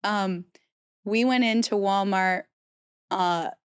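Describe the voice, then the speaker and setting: A woman's voice: mid-range voice, slow pace, smooth, dry recording. A woman in her thirties co-hosting a podcast, chatting casually and laughing often, her throat still phlegmy from a cold. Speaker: podcast co-host. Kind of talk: casual chat.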